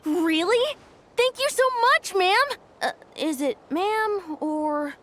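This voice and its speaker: Young child inventor: earnest, high-pitched, unhurried and lively game performance with music underneath. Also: female voice, a little hiss or hum, heavily acted. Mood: grateful. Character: eager, polite young child tinkerer. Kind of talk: English video game voice acting.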